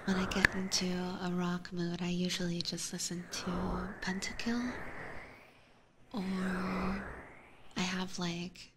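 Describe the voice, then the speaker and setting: A woman livestream host chatting casually in a soft, breathy ASMR style, often whispering, close to a binaural microphone. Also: fairly low pitch, little pitch movement, slow. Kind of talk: ASMR casual chat with viewers. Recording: noticeable background noise, close microphone.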